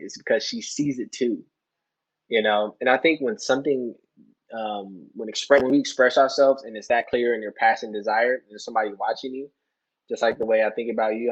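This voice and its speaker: Husky man rambling earnestly, slightly muffled recording. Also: on the low side. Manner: rambling, earnest, repeats "you know". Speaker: interview guest, soccer player. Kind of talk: casual podcast conversation.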